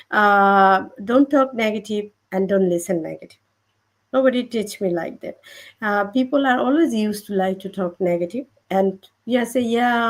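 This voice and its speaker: A woman with a Bangladeshi accent speaking non-native English, giving an unscripted motivational testimony as a panel guest, halting and repeating phrases. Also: mid-range voice. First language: not English.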